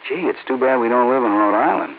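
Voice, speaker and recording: A gravelly, blustery husband. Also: male voice, mid-range voice, animated, fast talker. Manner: gruff, grumbling. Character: blustery middle-aged male husband, a sergeant. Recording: scratchy lo-fi old radio broadcast.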